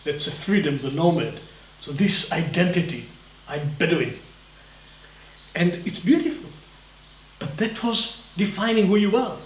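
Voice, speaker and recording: A man giving a live lecture in short emphatic phrases, pausing for an interpreter. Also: high voice, lively intonation, slight background noise. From Israel. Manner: emphatic, speaks in short phrases, acts out voices. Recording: echoey hall, voice from far off.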